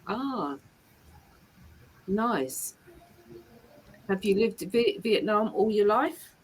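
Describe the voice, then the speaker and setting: Patient female tutor, slow, slightly husky delivery. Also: medium pitch, lively intonation, poor audio. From England. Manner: patient, repeats and rephrases questions, many restarts. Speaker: English tutor. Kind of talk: online one-to-one English lesson.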